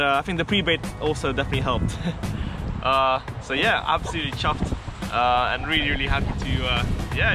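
A young man in his twenties, vlogging outdoors by a lake while fishing, talking excitedly and repeating himself as he reels in carp. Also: on the high side. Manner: excitable, repeats himself, fast exclamations while reeling in fish. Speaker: angler vlogger.

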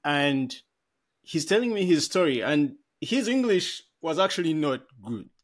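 A man's voice: fairly high pitch, sing-song, measured pace, breathy edge. A man in his thirties from Africa, co-hosting a podcast over a video call on a headset, telling a story in a rambling, self-correcting way with frequent laughs. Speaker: podcast co-host. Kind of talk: casual conversational storytelling. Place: small room at home. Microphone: over-ear headset on a video call.

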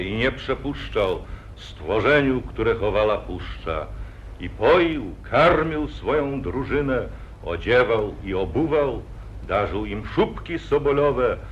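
A man's voice: medium pitch, sing-song, slow, slight background noise. A man narrating an old epic in solemn verse, in 1940s radio acting style. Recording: dull lo-fi 1948 radio recording.

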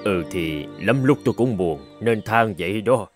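A man voicing a grumbling but soft-hearted old farmer in an animated folk tale. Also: fairly low pitch, moderate pace, soft background music, natural voice. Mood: puzzled.

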